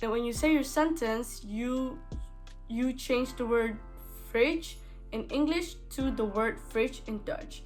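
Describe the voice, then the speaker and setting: A woman's voice: on the high side, slow, darkish tone, soft background music. A young woman from Indonesia with an Indonesian accent, talking casually to camera from a small bedroom, full of "like" fillers and restarts. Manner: chatty, many "like" fillers and restarts. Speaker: vlogger.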